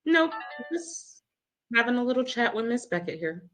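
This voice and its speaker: A woman playing a composed, pointed hospital nurse in a screenplay table read, speaking in a measured way. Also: a little high, sing-song, fairly bright tone, soft background music. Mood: guarded.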